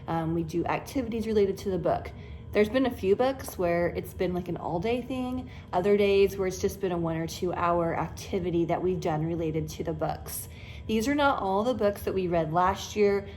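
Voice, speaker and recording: A woman's voice: medium pitch, darkish tone, slight background noise. A woman in her thirties with an American accent, talking casually and enthusiastically in a homeschooling mom's vlog. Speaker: homeschooling mother and vlogger. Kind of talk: casual vlog talk to camera.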